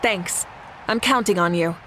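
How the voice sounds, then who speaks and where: A woman's voice: lowish voice, unhurried, heavy background noise, close to an everyday voice. A woman playing a confident, commanding young warrior leader in a video game, rousing and assured. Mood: businesslike, friendly.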